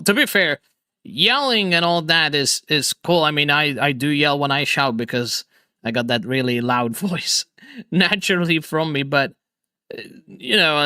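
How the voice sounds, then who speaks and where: Man, streamer host, casual chat, energetic, laughs a lot, bright tense voice, dry sound. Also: on the high side, clean tone, dry recording. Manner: energetic, laughs often, stumbles and restarts.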